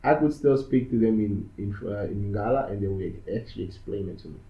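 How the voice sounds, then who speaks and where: A man's voice: lowish voice, fairly quick. A young man from Angola, raised in South Africa, chatting as a podcast co-host, with short replies that he often repeats. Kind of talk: casual chat. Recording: echoey room, dull lo-fi sound.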